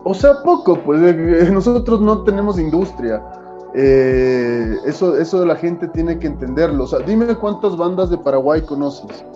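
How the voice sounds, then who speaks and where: A fairly high-voiced Ecuadorian man with a mellow, soft tone, chatting as a guest musician. He rambles and circles back with fillers in a casual, animated way. The sound is a little dull, with faint music underneath. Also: unhurried. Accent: Ecuadorian Spanish. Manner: animated, rambling, fills with "o sea". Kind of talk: casual interview talk.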